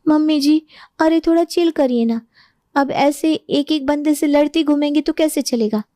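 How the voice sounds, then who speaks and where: Woman playing young daughter-in-law, high-pitched, exasperated, sarcastic, scolding, storybook drama. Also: normal speed, dark tone, dry recording, clean studio sound, close to an everyday voice. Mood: soothing. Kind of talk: animated moral-story voice acting.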